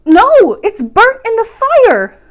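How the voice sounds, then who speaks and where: A woman's voice: very high-pitched, wildly swooping pitch, takes it slowly, pitched above the performer's own voice, full character voice. A woman voicing an eager, polite, wide-eyed little princess in a storybook read-aloud, speaking in an earnest, exclaiming way. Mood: dismayed.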